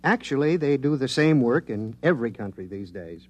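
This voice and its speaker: A man's voice: mid-range voice, animated, normal speed, darkish tone, close to an everyday voice. An actor playing an earnest, modest male government scientist who explains technical matters hesitantly, in 1950s radio drama style. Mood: matter-of-fact.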